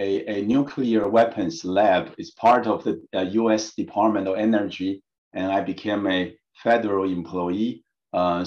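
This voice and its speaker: A man in his late fifties, from Taiwan, talks slowly through his slides with many fillers and restarts. His voice sits a little low. The sound is dull and lo-fi, like a video call. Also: darkish tone. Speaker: guest speaker, engineering professor. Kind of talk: personal talk over slides.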